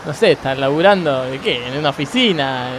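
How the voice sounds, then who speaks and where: A man's voice: mid-range voice, expressive, a little fast, background noise, lightly acted. A man playing a weary, slangy Argentine ambulance driver in a comic radio sketch, rambling and repeating himself. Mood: casual, rambling. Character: grumbling, weary, slacker blind male ambulance driver.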